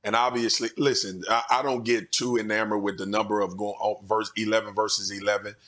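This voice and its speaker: A hoarse middle-aged man with a raspy voice, giving emphatic, conversational sports analysis as a studio analyst. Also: fairly low pitch, level tone, brisk, a bit bright. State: hoarse from cheering. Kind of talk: sports talk show analysis. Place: TV studio set.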